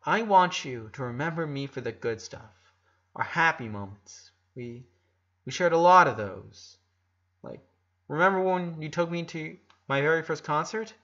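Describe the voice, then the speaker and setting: A man reads as a gentle eleven-year-old little brother in a story. He speaks earnestly, halting and repeating words as he goes. The recording is slightly dull. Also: on the high side, expressive. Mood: tender, hopeful.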